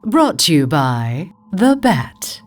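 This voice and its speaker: Woman playing ominous radio announcer, dramatic, crawling pace, hugely varied pitch, background music. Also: big pitch swings, takes it slowly, exaggerated voice. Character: dramatic female horror show announcer. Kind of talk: old-time radio announcing.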